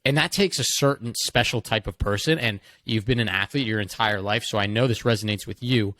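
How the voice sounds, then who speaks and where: A man's voice: on the low side, brisk, a bit bright. A young man in his twenties co-hosting a casual podcast, talking enthusiastically and often saying "like". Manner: enthusiastic, leans on "like". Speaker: podcast co-host.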